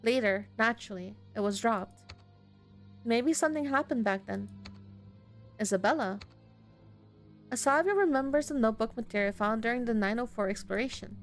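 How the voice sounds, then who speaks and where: A woman reading a visual novel aloud as she plays, narrating expressively and breaking into casual, exclaiming commentary. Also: on the high side. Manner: reactive, exclaiming, casual swearing.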